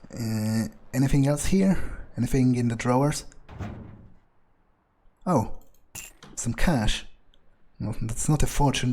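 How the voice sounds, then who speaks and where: Cynical vampire ex-gangster , played by a male gamer: husky, unhurried, muttering sardonic inner thoughts. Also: medium pitch, darkish tone, lightly acted. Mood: irritated, mocking, then musing. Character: cynical crude male gangster turned vampire, foul-mouthed. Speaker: gamer playing in character. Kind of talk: improvised in-character thinking aloud.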